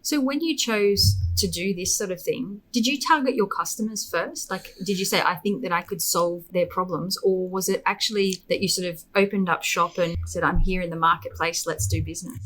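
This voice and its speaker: A woman's voice: lowish voice, normal speed, few pauses, fairly bright tone, slight background noise, rough recording. A woman with an Australian accent, from the Gold Coast, interviewing casually as a podcast host.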